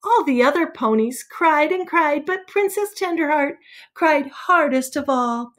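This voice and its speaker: Woman acting as a young girl, high-pitched, sentimental, prim, expressive, easily indignant, storybook read-aloud. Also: unhurried. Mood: sad, dramatic. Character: young girl telling a sweet, sentimental princess fairy tale.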